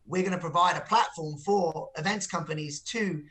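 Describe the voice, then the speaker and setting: A man's voice: fairly high pitch, normal speed, bright, forceful tone. A man giving a business interview as a company executive, explaining things with frequent repeated words.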